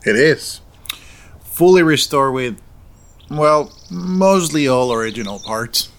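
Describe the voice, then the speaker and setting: An actor playing a proud, teasing middle-aged farm owner in an audio drama. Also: male voice, on the high side, animated, slow, fairly bright tone, a little hiss or hum, full character voice. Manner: teasing, expansive, fond. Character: Salvador, proud, playful older farm owner and father.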